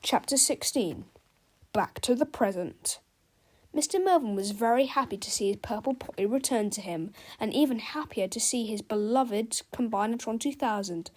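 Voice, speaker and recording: A woman's voice: fairly high pitch, normal speed, darkish tone. A woman narrating a children's storybook as a read-aloud.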